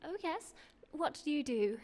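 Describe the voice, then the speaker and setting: A woman playing a polite, wary young sweetheart in a radio play, speaking in a soft, genteel manner. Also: sits high, lively intonation, unhurried.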